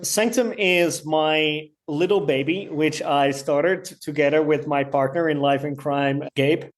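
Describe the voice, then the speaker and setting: A man's voice: a little high, fairly even intonation, a little slow. A man from the Netherlands with a Dutch accent, answering interview questions in a friendly way with some hesitant fillers. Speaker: guest, wellness movement founder.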